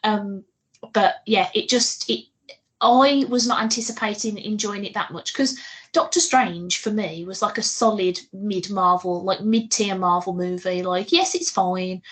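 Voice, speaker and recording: A woman's voice: mid-range voice, steady tone, a little slow, fairly bright tone. A woman chatting casually as a podcast co-host, giggly and talkative, with a loose, sweary way of talking.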